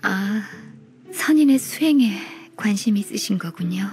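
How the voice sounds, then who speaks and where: An actress playing a young, gentle half-immortal woman in a video game, speaking softly with polite, formal earnestness. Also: mid-range voice, lively intonation, unhurried, faint music behind. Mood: gentle, earnest.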